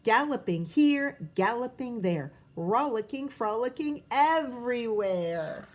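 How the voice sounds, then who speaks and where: Higher-voiced, unhurried woman reading to children. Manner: expressive, prompting young listeners with questions. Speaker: teacher reading aloud. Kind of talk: children's picture book read-aloud with asides.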